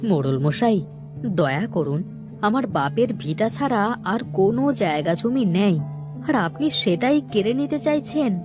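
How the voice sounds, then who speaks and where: A man's voice: high-pitched, animated, a little slow, over music. Man as a humble, hard-working village father. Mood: pleading. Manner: humble, pleading, good-natured. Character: meek, hard-working poor villager, devoted young father. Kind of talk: cartoon character acting.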